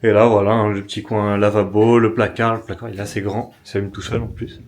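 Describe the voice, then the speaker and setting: A man's voice: on the low side, flat delivery, fast. A young man hosting a casual travel vlog, talking to a handheld camera in an upbeat, joking way as he walks through a hotel room, busy streets and shops. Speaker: vlogger host. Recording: loud music and crowd noise behind.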